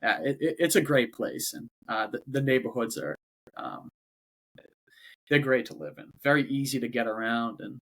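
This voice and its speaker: A man's voice: medium pitch, moderate pace, gravelly, a bit bright. A man with a Boston accent, a company head talking as a guest in a casual interview, often repeating words before going on. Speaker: robotics company CEO, guest.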